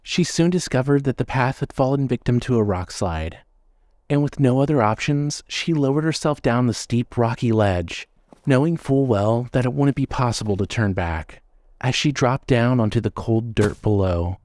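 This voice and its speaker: A man narrating a scripted suspense story in a measured, storytelling manner. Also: medium pitch, expressive, darkish tone, no room sound.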